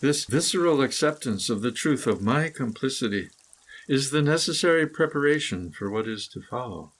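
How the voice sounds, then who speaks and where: A man reading a scripted, poetic guided meditation in measured, deliberate phrases with pauses. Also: medium pitch, lively intonation. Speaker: guided meditation reader, author.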